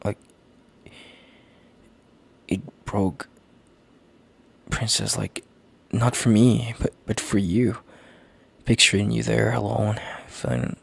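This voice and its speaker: A man playing a gentle, worried boyfriend in an intimate audio roleplay, speaking in a halting, tender, hushed way with a gravelly, husky, breathy voice. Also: lowish voice, steady tone, heavily acted. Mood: tender, aching. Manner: halting, tender, hushed, sighing between phrases. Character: gentle worried boyfriend, relieved and heartfelt, on a call.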